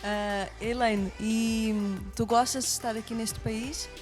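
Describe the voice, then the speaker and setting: A woman's voice: fairly high pitch, fairly even intonation, slow pace, soft background music. A woman with a European Portuguese accent hosting a conversational interview programme for women. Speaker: host of a women's church programme.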